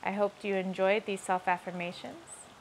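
A woman slowly and calmly leading guided self-affirmations, repeating each line twice. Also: medium pitch, slight background noise, rough recording. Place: outdoors on a rainy day.

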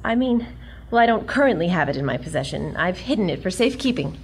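A woman's voice: on the low side, big pitch swings, fast talker, gravelly, slight background noise. An actress playing a plucky young American woman, earnest and defiant with dry sarcasm, in an audio drama. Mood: nervous, stubborn.

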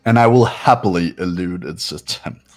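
A man's voice: sits low, moderate pace, close to an everyday voice. A man voicing a haughty, cold young man in a video game, condescending and scornful. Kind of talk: amateur video game voice-over. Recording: background music throughout.

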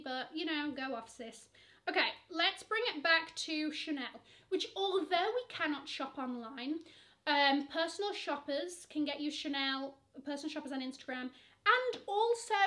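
Young girl doing a shopping vlog, sassy and dramatic, high voice. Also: bright, forceful tone. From England. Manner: sassy, gushing, calls bags "she". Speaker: fashion vlogger. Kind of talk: chatty online shopping commentary. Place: bedroom with shelves of shoes.

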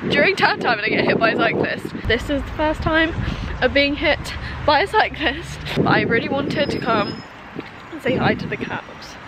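A young woman chatting casually to a handheld camera at arm's length, bubbly and quick with asides. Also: sits high, very noisy. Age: young adult. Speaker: vlogger. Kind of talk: casual vlog chat. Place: outdoors in a town, lawns and streets.

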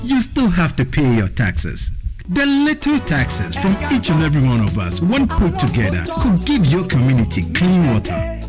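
A man delivers an earnest public service appeal, his pitch swinging very widely and sitting a touch high. Faint music plays underneath. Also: wildly swooping pitch, moderate pace. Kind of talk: scripted public service announcement.

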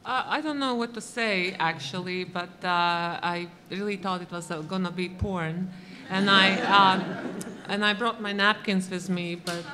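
A woman's voice: mid-range voice, big-room sound, background noise. A woman from Latvia with a Latvian accent, introducing herself on an animation panel with cheeky humor and many hesitant fillers. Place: gallery stage before a large audience. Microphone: table mic.

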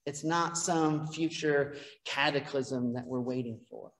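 A man's voice: medium pitch, unhurried, fairly bright tone. A middle-aged man, a priest, giving a conversational sermon from the pulpit with self-deprecating asides. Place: church sanctuary, behind a pulpit. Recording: long church reverb.

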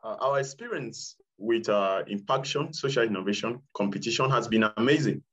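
A man's voice: mid-range voice, normal speed, slightly rough. A man with a Nigerian accent giving earnest thanks as a participant on a video call. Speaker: competition participant. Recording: muffled video call audio.

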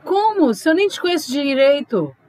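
Woman acting a high-voiced, inquisitive girlfriend. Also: very animated, moderate pace, a little mellow. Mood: bewildered. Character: concerned, questioning woman in love. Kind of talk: audio drama acting.

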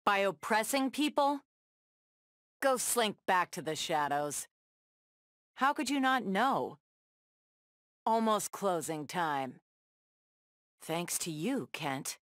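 An actress playing a tough, sardonic superheroine brawler in a video game, tossing out sassy, taunting one-liners. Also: female voice, medium pitch, very animated, slow pace, pauses often, fairly bright tone, no echo, studio quality. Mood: stern, reproachful. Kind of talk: video game fight banter.

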